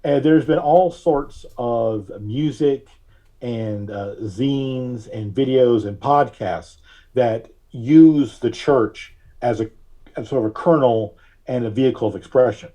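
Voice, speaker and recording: A man speaking as a podcast guest in a conversational interview, thoughtful and often halting as he searches for words. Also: mid-range voice, dark tone. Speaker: podcast guest, artist and reverend.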